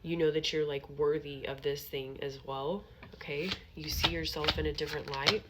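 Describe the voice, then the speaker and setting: A young woman with a low, nasal, congested voice reads tarot cards for her viewers in a chatty, reassuring way. She is sniffly from allergies and keeps checking in with "okay?" as she goes. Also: fairly even intonation, moderate pace, background noise. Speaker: tarot reader. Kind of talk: casual tarot reading to viewers.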